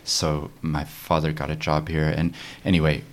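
A man raised in New Zealand, hosting a relaxed conversational interview and laughing easily. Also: very deep voice, moderate pace. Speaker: host. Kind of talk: casual interview chat.

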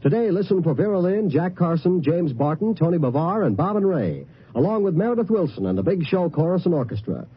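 A man's voice: a little high, big pitch swings, brisk, few pauses, husky. A man with an American accent reading a scripted promo as a 1950s radio network announcer. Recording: muffled old radio recording.

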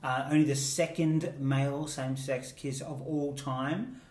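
A man's voice: mid-range voice, steady tone, a little slow, darkish tone, recorded from a distance. A man co-hosting a casual chat that reviews song contest entries, talking fondly and laughing often. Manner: chatty, laughs often, fond asides. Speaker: co-host. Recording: roomy echo, set back from the mic.

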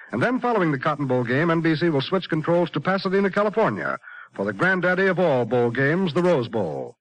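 A fairly high male voice with an American accent, very dry and close. He reads as a vintage network announcer, in scripted 1950s style on an old radio broadcast. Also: a bit bright, no room sound, rough recording.

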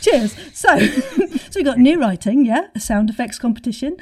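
A woman with a fairly high but very soft, mellow voice co-hosts a podcast. She chats casually and playfully, trading jokey banter with her fellow presenters. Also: sing-song, normal speed, husky. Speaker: podcast co-host.

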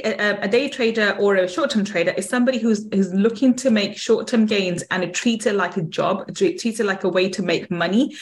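A woman hosting an interview podcast in an effusive, emphatic way. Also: medium pitch. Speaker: podcast host.

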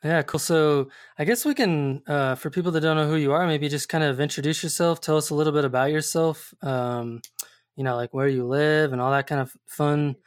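A man's voice: a little high, brisk, clear, resonant tone. A man hosting a podcast, reading sponsor notes and chatting casually and upbeat with a guest. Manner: upbeat, laughs easily, loose phrasing. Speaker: podcast host.

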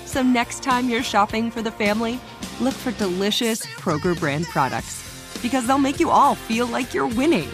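A woman reads an advertisement in a slightly high announcer voice. Music is clearly heard behind her. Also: expressive, normal speed. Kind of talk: promotional ad read.

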